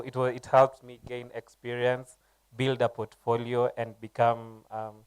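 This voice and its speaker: A man speaking as a panelist at a conference, giving steady, encouraging advice. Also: mid-range voice, smooth, no echo, poor audio.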